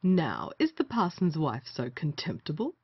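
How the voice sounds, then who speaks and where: A woman's voice: sits low, huge pitch swings, moderate pace, slightly rough, dry recording, lo-fi sound, full character voice. A woman playing a sardonic male gallant in a period stage comedy, wry and teasing with a companion.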